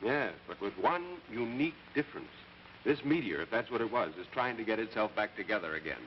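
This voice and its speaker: An actor playing a thoughtful, curious scientist in a 1950s radio drama, reasoning briskly aloud. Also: male voice, on the low side, animated, a little hiss or hum, lightly acted. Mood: intrigued. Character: scientist named Carl, thoughtful, calmly curious man. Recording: muffled old radio broadcast with music.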